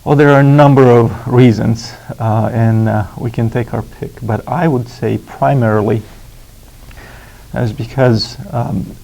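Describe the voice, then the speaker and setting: Creaky, mellow man explaining formally. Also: medium pitch, fairly even intonation, low-quality recording. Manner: deliberate, halting, formal wording. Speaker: expert interviewee. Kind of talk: explanatory interview.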